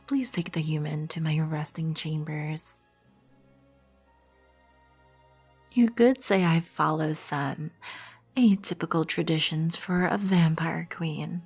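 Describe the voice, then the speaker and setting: A woman playing a proud, composed vampire queen in an ASMR roleplay, with an imperious, formal manner and a breathy, husky voice. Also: lowish voice, exaggerated voice. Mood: composed, commanding. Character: regal, composed, proud vampire queen, protective of her colony. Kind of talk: ASMR roleplay, scripted second-person acting. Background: background music.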